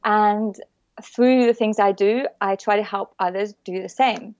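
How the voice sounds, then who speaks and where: A woman with a French accent, a guest chatting casually in an interview, warm and often laughing with hesitant fillers. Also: mid-range voice, fairly even intonation, measured pace, dry recording, dull audio. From born in the UK, raised in the south of France. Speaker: guest, bio-neuroemotion practitioner.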